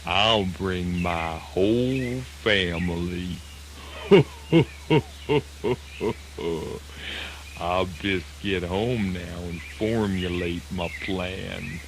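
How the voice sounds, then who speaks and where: Man playing a slow, dignified Southern terrapin. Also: deep voice, heavily acted. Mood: sly. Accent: Southern American. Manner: deliberate, folksy, courteous. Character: slow, dignified, sly old male terrapin in a folk tale. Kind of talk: children's radio drama acting. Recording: noisy old radio drama recording.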